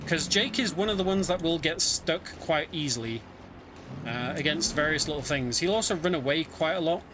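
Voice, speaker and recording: A man giving casual, conversational live commentary on a video game speedrun, often pausing with ums. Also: on the high side, noisy background. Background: game music.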